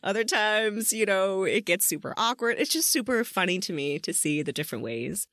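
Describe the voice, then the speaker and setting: A woman's voice: medium pitch, expressive, moderate pace, fairly bright tone, no room sound, clean studio sound. A woman interviewing in a casual, chatty way, effusive and giggly with enthusiasm. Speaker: podcast interviewer.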